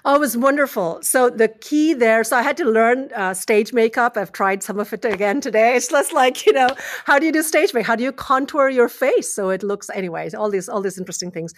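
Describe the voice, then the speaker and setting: A woman's voice: on the high side, expressive, brisk, few pauses, no room sound. Chatty woman guest, dry close sound. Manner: rambling, many restarts and fillers, trails off quietly. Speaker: podcast guest, engineering leader. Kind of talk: casual conversational interview.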